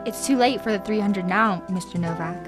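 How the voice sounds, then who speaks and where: An actress playing a cool, hard, seductive femme fatale with cutting dismissiveness, in 1940s radio noir style. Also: female voice, medium pitch, a little fast, natural voice. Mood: cool, dismissive. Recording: vintage 1940s radio recording with music.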